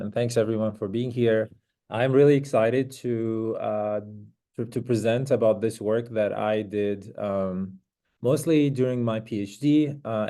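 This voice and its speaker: This is a man presenting his research in an online seminar. His voice sits low and has a warm, relaxed tone. He talks in an earnest, conversational way and often pauses to find his words. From Iraq. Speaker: guest speaker. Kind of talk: academic seminar lecture. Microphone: video call.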